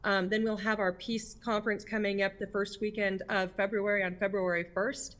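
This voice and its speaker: Interviewee, female, American, medium pitch. Candid, emphatic club-leader talk, conversational, full of rhetorical questions. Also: level tone, normal speed, fairly bright tone. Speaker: interviewee, district governor of a service club.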